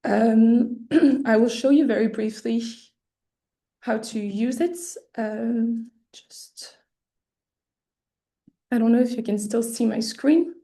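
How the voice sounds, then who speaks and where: Hoarse woman presenting a webinar. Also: fairly high pitch, takes it slowly, long pauses, dark tone. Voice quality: slightly hoarse. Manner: hesitant, many fillers and restarts. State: losing her voice, clearing her throat often. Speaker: webinar presenter for an arts award. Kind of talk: explaining an application process. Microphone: computer mic on a video call.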